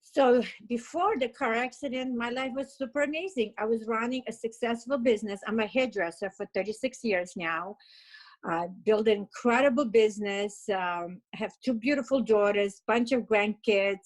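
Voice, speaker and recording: A woman's voice: a little high, moderate pace, rough recording. A woman in her fifties with a Russian accent, a guest telling her personal story in an emotional, upbeat way. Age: 50s. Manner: emotional, upbeat, uses "like" and "you know" a lot. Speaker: interview guest.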